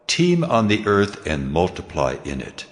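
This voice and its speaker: God in a Bible audio drama. The voice is very low and commanding, with solemn authority. It rings out in a long, cavernous reverb. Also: male voice, huge pitch swings, measured pace, heavily acted.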